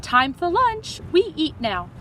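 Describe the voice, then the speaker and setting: A kindly storybook mother, acted out by a woman reading aloud, high in pitch. She talks in short, halting phrases with dropped words, over audible background noise. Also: huge pitch swings, bright, forceful tone, exaggerated voice. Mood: brisk, hospitable. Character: Mrs. Park, kindly Korean mother with clipped grammar. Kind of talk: children's storybook character voice.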